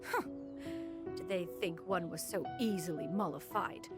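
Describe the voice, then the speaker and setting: A woman's voice: lowish voice, unhurried, over loud music, natural voice. A woman voicing a proud, ancient immortal in a video game, speaking formally and haughtily. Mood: indignant, concerned. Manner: formal, haughty, refers to self as "one". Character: Cloud Retainer, proud ancient crane immortal in woman's form. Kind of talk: video game character acting.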